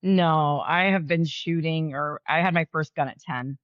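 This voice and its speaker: A woman co-hosting a podcast, chatting in a lively, animated way with frequent ums. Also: low-pitched, unhurried, no room sound, dull audio. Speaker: podcast co-host. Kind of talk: casual chat.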